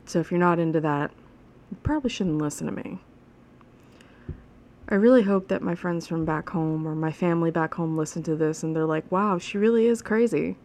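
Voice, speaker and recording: Low, gravelly-voiced woman podcaster. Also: normal speed, long pauses, a little mellow. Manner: rambling, chatty, frank with swearing. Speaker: podcast host. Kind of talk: casual solo podcast monologue. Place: alone at home.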